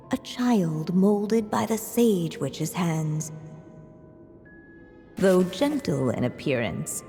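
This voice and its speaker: Game storybook narrator, lowish mellow voice, solemn, deliberate, long reverb. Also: female voice. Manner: grave, deliberate. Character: solemn female fairy tale storyteller. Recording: long reverb, faint music behind.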